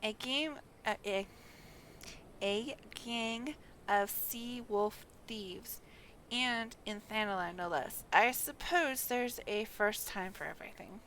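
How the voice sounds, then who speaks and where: A woman's voice: mid-range voice, slow pace, a bit bright, a little hiss or hum. A woman voicing a wry, cynical male rogue from a fantasy game, with dry archaic phrasing.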